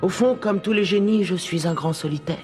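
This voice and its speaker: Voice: mid-range voice, a little fast, close to an everyday voice. A performer voicing a self-important, solitary schoolboy in a French cartoon dub, talking pompously to himself. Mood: smug. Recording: music playing behind the voice.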